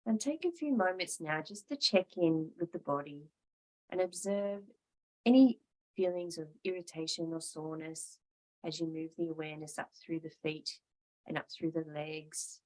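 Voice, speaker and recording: A woman teaching yoga and guided meditation, calm and measured, leaving pauses between short phrases. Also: low-pitched, poor audio.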